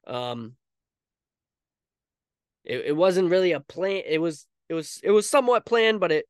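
A man's voice: high-pitched, sing-song, measured pace, clean tone, no room sound, clean studio sound. A man hosting a podcast solo in a casual, rambling, self-deprecating and informal way. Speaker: podcast host.

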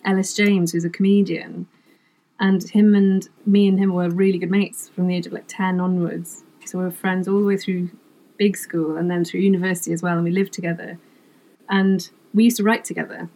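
A woman's voice: on the low side, flat delivery, fairly quick, mellow, poor audio. A middle-aged woman from Wales, whose first language is Welsh, chatting as a guest about her writing work, self-deprecating and laughing often. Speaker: comedy writer, guest. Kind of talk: casual interview chat.

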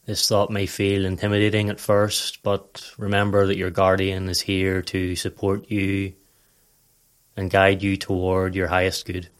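A man narrating a scripted guided meditation in a calm, measured, instructional manner. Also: low-pitched.

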